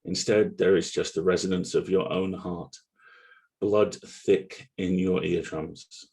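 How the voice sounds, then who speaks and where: A man acting a brooding, guilt-haunted narrator in an audio drama read, with a measured, solemn, literary delivery. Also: low-pitched, fairly even intonation, darkish tone. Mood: somber, brooding. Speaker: voice actor at a table read.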